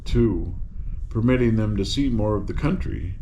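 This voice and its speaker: A man's voice: fairly low pitch, expressive, moderate pace, mellow, highs cut off, slight background noise. An older man narrating a children's story in a folksy manner, with casual asides. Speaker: storybook reader.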